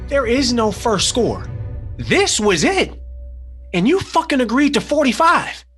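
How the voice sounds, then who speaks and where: A man's voice: very high-pitched, big pitch swings, unhurried, a bit bright, soft background music, exaggerated voice. A man acting a hot-tempered, desperate man in a crime drama table read, intense and foul-mouthed. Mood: angry, indignant.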